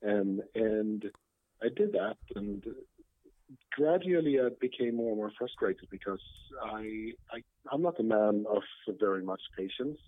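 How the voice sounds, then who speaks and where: A man with a Swedish accent speaks as an interview guest, his voice sitting on the low side. He talks in a halting way, with frequent fillers and restarts. The sound is dry and squeezed into a narrow, phone-like band. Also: lively intonation, dry recording. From Sweden. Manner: hesitant, many fillers and restarts. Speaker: guest, computer science professor. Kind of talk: conversational interview. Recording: tinny phone-like line.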